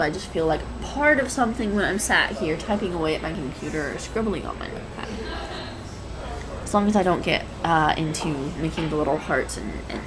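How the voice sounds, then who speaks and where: A woman's voice: low voice, lightly acted. A woman playing a shy, anxious, adorkable young woman in an intimate roleplay audio, rambling hesitantly and stammering. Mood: nervous, chatty. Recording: clear background coffee-shop ambience noise. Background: coffee shop ambience sound effects.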